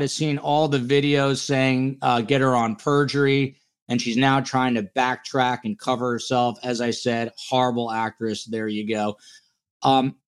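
A man's voice: medium pitch, clean tone. A man hosting a casual true-crime panel podcast, talking in a blunt, teasing, jokey way. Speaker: podcast host.

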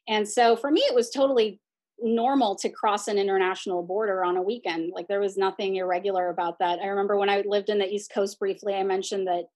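A woman's voice: mid-range voice, fairly even intonation, fast, a little mellow, no room sound. A woman with an American accent reflecting casually on her own life as an interviewee, often saying "like" and "you know". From San Diego border region, United States. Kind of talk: reflective casual personal storytelling.

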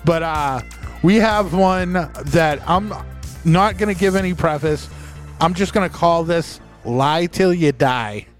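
A man's voice: on the high side, slow, faint music behind. A man co-hosting a podcast in casual, joking, foul-mouthed chat. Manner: profane, joking. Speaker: podcast co-host.

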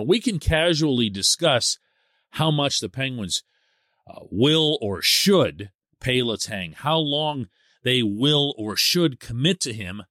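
A man hosting a sports podcast as a columnist, giving solo commentary in an animated, emphatic way. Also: medium pitch, no room sound, studio quality. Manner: animated and emphatic, stresses key words.

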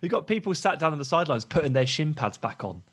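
A man in his thirties co-hosting a casual football podcast, telling stories in a chatty, animated way. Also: a little high, fast talker, husky. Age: 30s. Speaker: podcast co-host.

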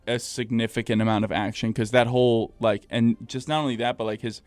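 A man's voice: mid-range voice, steady tone, fairly quick, dry recording. A man chatting casually about games as a podcast panelist.

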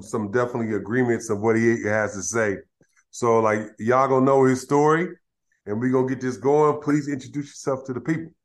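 Laid-back slangy male podcast host. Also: lowish voice. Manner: relaxed, slangy, with filler words and restarts. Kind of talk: casual interview chat.

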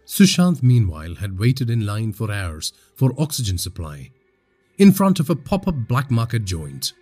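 A man from India narrating a scripted horror story in a husky voice, with dramatic, suspenseful delivery. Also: fairly low pitch, huge pitch swings, normal speed, a little mellow, faint music behind.

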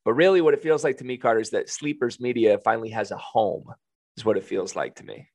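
A man with a raspy, gravelly voice chats on a podcast, upbeat and quick with a joke. He is recorded up close on a dry mic with no room sound. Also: fairly low pitch, animated, darkish tone, no echo. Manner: upbeat, joking, plugs upcoming episodes. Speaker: podcast co-host. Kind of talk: casual podcast chat.

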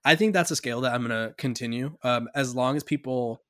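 A man's voice: medium pitch, a little fast, no room sound, clean studio sound. Ranting male sports podcast host. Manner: animated, ranting, laughs often. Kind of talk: casual sports podcast chat.

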